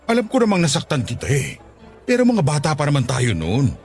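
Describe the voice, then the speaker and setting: An excitable middle-aged man in a radio drama. His high voice swings dramatically up and down as he coaxes, cheeky and eager. Soft music sits behind him. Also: brisk. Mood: pleading. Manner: coaxing, excitable. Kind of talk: Tagalog radio drama acting.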